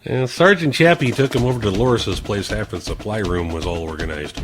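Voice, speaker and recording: A man's voice: fairly low pitch, a little fast, over music, lightly acted. An actor playing a dutiful army private reporting respectfully to his superior in an audio drama. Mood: hesitant.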